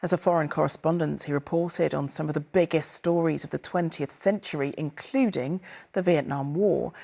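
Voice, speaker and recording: A woman's voice: sits low, creaky, dark tone. A woman news presenter reading a scripted bulletin.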